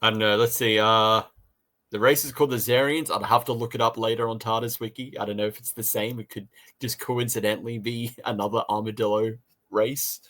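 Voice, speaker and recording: A man from Australia co-hosting a casual review chat, talking in a halting way and often repeating words. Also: on the low side, fairly even intonation, a bit bright. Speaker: podcast co-host and reviewer.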